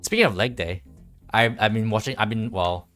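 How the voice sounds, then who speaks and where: A man giving casual live game commentary in a rambling, halting, low-key way. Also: fairly bright tone. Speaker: game streamer. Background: faint game music.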